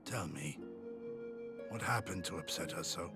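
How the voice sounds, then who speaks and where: A deep, gravelly old warrior who speaks slowly and deliberately, his pitch rising and falling with weight. Loud game music plays behind him. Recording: game dialogue over loud background music.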